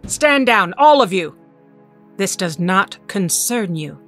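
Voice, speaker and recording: A woman's voice: mid-range voice, expressive, takes it slowly, a bit bright. An actress playing an ageless mutant mother in an audio drama, cold, guarded and authoritative in clipped phrases. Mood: commanding. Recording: music under the dialogue.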